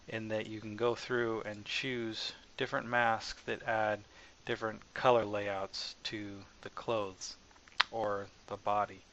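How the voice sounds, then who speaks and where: A man narrating a casual software tutorial as the developer, informal and hesitant, as if thinking aloud. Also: fairly low pitch. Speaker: software developer presenting own asset package. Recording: dull lo-fi mic with faint background noise.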